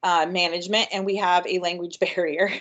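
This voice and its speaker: A woman's voice: on the low side, flat delivery, a little fast, a bit bright. A woman giving an upbeat, chatty webinar presentation with frequent ums. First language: English. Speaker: workshop presenter.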